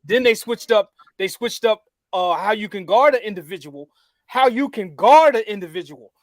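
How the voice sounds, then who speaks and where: A man with a high voice argues basketball in a casual debate with friends. He is animated and repeats phrases as he builds his case. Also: moderate pace, no echo. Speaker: podcast co-host.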